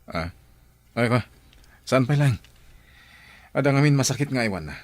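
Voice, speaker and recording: A man's voice: mid-range voice, lively intonation, normal speed, a little mellow, close to an everyday voice. A man acting a reluctant, grumbling friend in an Ilocano radio drama, with an Ilocano Filipino accent.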